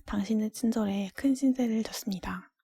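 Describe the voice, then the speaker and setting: A woman voicing a humble, polite merchant father from a storybook in a breathy whisper. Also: fairly high pitch, brisk, mellow, no room sound, clean studio sound. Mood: grateful.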